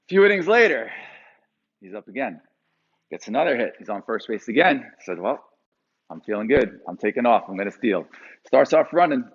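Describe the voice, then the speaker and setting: A man in his forties from Pennsylvania, telling a personal story to a live audience with animated delivery and frequent fillers. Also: medium pitch, normal speed, long pauses, breathy edge, muffled recording. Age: 40s.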